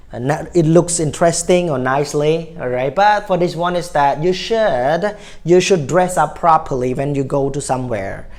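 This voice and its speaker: A man's voice: fairly high pitch, a little mellow. A man with a Cambodian accent teaching English phrases in a casual, repetitive way, often checking in with "all right?". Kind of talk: casual spoken lesson.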